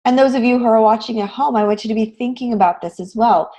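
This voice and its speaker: Hoarse, raspy woman teaching online. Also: on the high side, fast talker, mellow. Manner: encouraging, addresses students with frequent questions. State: hoarse voice recovering from a cold. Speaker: online graphic design instructor. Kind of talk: live online lecture to students, conversational. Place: online class session, screen shared.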